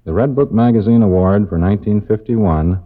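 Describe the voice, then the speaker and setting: A man's voice: fairly low pitch, normal speed. A man giving a formal, scripted award presentation on 1950s radio as a magazine editor. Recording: thin, phone-like old radio recording.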